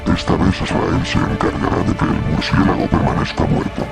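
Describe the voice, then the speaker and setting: A man playing a menacing, monstrous horror villain with a gravelly growl and a slow, ominous delivery over music. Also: sits low, flat delivery. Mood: cold menace. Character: menacing monstrous villain speaking doom to humanity. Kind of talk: analog horror voice acting.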